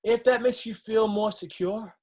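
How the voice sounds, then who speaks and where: A man's voice: high voice, fairly even intonation, normal speed, darkish tone. An actor playing a defiant, hopeful man plotting escape in a stage drama, speaking earnestly and emphatically. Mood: resolute. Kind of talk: dramatic stage-play dialogue.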